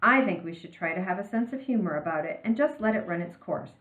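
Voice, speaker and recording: A woman's voice: on the low side, lively intonation, fast, reverberant, narrow, tinny audio, natural voice. A woman voicing an easygoing male teacher in a storybook, arguing persuasively and asking emphatic questions. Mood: earnest, pleading. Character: upbeat easygoing male teacher pleading for patience.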